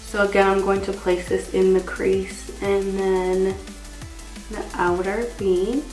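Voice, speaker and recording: A woman's voice: medium pitch, flat delivery, slow, a little mellow, faint music behind. A young woman with a slightly congested voice from a cold, chatting casually as she gives a makeup tutorial. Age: young adult. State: has a cold. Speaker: makeup artist and beauty vlogger. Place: small indoor room. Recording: roomy echo.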